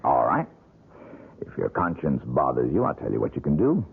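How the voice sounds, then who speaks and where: World-weary painter; low, raspy, dry and sardonic, lo-fi broadcast sound. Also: male voice, sing-song, moderate pace, full character voice. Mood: bitter, guarded. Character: bitter, weary male painter, son of a dead actress. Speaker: painter character. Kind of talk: 1970s radio drama acting. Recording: tinny lo-fi old radio broadcast.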